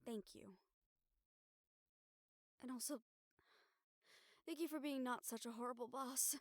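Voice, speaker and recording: A woman plays a stubborn young woman reporter in an audio drama, with a fairly high voice recorded close and dry. She is weary and sardonic, and talks casually with a wry, sweary edge. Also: fairly even intonation, unhurried, dry recording. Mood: grateful, subdued. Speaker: audio roleplay voice actor. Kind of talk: intimate audio roleplay acting.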